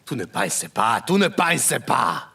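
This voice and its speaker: A man's voice: medium pitch, very animated, takes it slowly, creaky, bright, forceful tone, breathy edge, full character voice. A man playing a domineering, embittered head of a crime family in an audio drama, with a menacing, theatrical and formal delivery. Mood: angry, exasperated.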